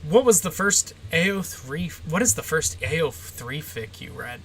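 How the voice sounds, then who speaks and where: Bright, deadpan young streamer woman. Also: deep voice, a little slow, vocal fry, slight background noise, low-quality recording. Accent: general American. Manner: short, deadpan answers with frequent "uh" and "like". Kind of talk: casual livestream Q&A, answering chat.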